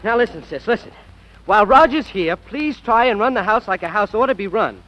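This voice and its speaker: Excitable, high-voiced college boy. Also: brisk. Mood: exasperated. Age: young adult. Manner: boyish, easily flustered. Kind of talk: 1940s radio acting. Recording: dull old radio broadcast recording.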